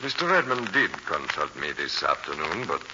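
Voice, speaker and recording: Very deep, suave mystic. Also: male voice, sing-song. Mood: coolly polite. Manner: formal, deliberate, aloof. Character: suave, mysterious male fortune-teller, coolly evasive. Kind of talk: 1940s radio acting. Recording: noisy muffled 1940s radio recording.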